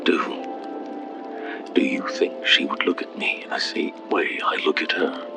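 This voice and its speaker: An actor playing a humble, lovestruck titan in a fantasy audio drama, speaking with hesitant, breathy earnestness. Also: male voice, flat delivery, a little slow, over loud music, heavily acted. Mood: shy, hesitant. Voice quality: very deep, breathy, gravelly. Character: gentle, lovestruck male titan, humble and shy.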